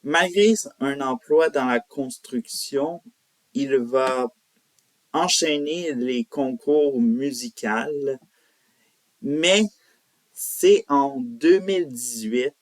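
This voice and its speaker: A man presents a music profile at a very slow pace. His delivery is informal and halting, with self-corrections. His voice sits at a medium pitch, recorded dry with little room sound. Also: no room sound. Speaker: host of a country music profile show. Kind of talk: semi-scripted biographical narration to viewers.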